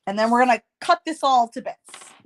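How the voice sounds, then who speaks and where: A woman hosting a casual card-making tutorial, chatty and self-deprecating, slightly hoarse with a stuffy nose. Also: on the high side, fairly quick, a little hiss or hum.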